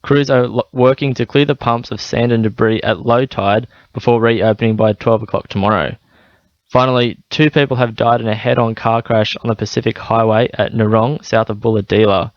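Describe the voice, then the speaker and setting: A man's voice: mid-range voice, fairly even intonation, normal speed, dry recording, dull audio. A man with an Australian accent reading scripted radio news bulletins. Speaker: radio newsreader.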